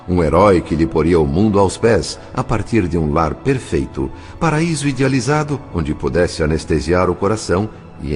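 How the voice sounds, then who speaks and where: A man with a Brazilian accent narrating a scripted dramatic novel with measured, literary, expressive phrasing. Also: low-pitched. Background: faint music.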